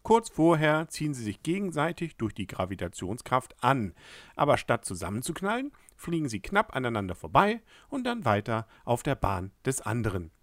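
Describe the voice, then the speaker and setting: A man voicing a calm male space companion who explains things in a teacherly tone, in a storybook reading. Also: medium pitch, moderate pace, dry recording, clean studio sound, lightly acted. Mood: lecturing.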